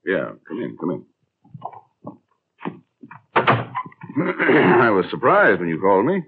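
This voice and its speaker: Narrow, tinny old radio sound holds a courteous middle-aged broker. His deep voice moves freely up and down, in fifties radio drama style. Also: huge pitch swings, takes it slowly, slight background noise, exaggerated voice. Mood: polite, uneasy. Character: courteous gray-haired veteran male insurance broker. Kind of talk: 1950s radio drama acting. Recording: thin, band-limited old radio recording.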